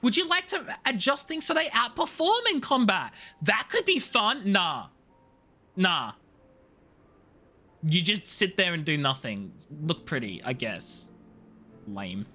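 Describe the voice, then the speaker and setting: A man chatting casually as a live game streamer, in an animated, expressive way with emphatic, drawn-out words. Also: high-pitched. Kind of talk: casual game stream chat, reading story dialogue and viewer messages aloud. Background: game music.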